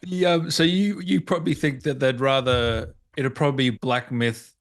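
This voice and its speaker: A man's voice: medium pitch, fairly even intonation, moderate pace. Male podcast interviewer, mid-range voice, casual, blokey, agreeable gamer chat. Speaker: host, interviewer.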